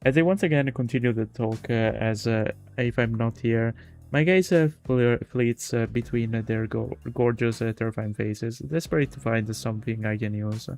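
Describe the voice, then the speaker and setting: A man's voice: medium pitch, darkish tone. A man streaming a game, reading a visual novel aloud with casual commentary and often stumbling over words. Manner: halting, stumbles and repeats words while reading. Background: game music.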